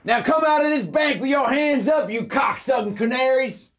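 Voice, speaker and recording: A fierce frontier lawman in a Western audio play. He shouts aggressively in a voice pushed extremely high. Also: normal speed. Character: fierce Texas lawman shouting down bank robbers.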